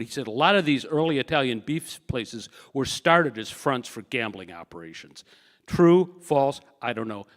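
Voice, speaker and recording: A man's voice: mid-range voice, a bit bright. An older man, an author, telling wry anecdotes at a book talk in an easygoing storyteller's way. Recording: reverberant hall.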